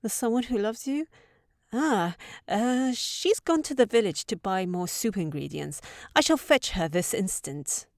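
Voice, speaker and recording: A woman's voice: medium pitch, expressive, a little slow, no echo, studio quality. A woman playing a sensible young housekeeper secretly in love with a wizard, in an intimate, earnest audio roleplay. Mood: flustered, apologetic.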